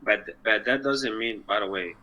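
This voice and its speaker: A man's voice: lowish voice, moderate pace, pushed and bright, poor audio. A man from Egypt in a casual online chat, earnest and rambling, sometimes searching for words. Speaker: chat partner.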